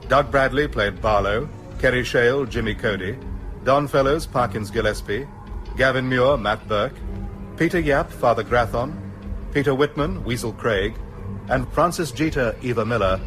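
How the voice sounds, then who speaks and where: Male announcer over clear music. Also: mid-range voice, normal speed, fairly bright tone, low-quality recording. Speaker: closing announcer. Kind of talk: scripted broadcast credits announcement.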